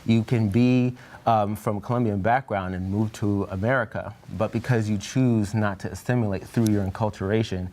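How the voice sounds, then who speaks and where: Earnest low-voiced young man. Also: steady tone, moderate pace, few pauses, a little mellow, slight background noise. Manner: earnest, reflective, searching for words. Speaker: Bible study participant. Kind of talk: discussion group chat.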